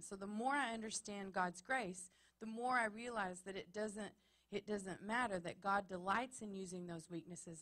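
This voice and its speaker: Earnest Texan woman preaching, bright voice. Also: medium pitch. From Texas, USA. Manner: earnest, building to emphatic repetition. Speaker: pastor. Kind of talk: sermon. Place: church.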